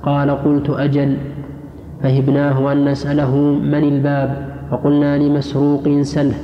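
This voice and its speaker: A man formally reading classical religious texts aloud to a teacher, with measured, careful enunciation. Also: fairly high pitch, completely flat delivery. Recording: muffled, echoey hall, faint noise.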